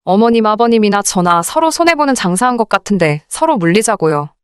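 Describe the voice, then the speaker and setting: A woman reading a scripted first-person story in an expressive, indignant radio drama style. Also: medium pitch, brisk, smooth, dry recording, clean studio sound. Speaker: narrator of dramatized listener stories.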